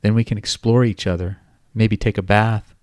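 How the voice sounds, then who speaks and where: A man playing a smooth-talking coaxing man. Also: on the low side, fairly even intonation, moderate pace, darkish tone, no room sound, studio quality, voice pushed up, lightly acted. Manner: coaxing, soothing. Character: grown man, smooth-talking online predator.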